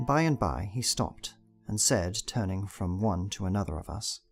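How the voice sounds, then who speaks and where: A man with a creaky voice reading a diary as dramatised, scripted narration. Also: sits low, animated, moderate pace. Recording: music behind the voice.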